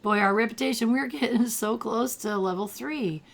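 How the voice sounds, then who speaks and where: Older woman with a gravelly voice. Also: fairly high pitch, normal speed. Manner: chatty, thinks aloud, cheers at the game. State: rough throat from allergies. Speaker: gameplay commentator. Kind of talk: casual gameplay commentary.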